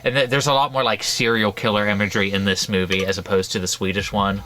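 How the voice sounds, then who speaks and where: A man's voice: lowish voice, level tone, fast, pushed and bright. A man co-hosting a horror book podcast, chatting casually with many restarts and frequent laughs. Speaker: podcast co-host. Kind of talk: casual chat.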